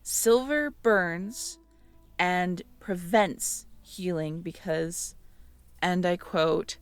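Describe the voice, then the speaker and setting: A woman's voice: on the low side, drawn-out words, clear, resonant tone, dry recording, faint music behind. A woman in an intimate audio roleplay, musing aloud as she reads through notes and checks back with the listener.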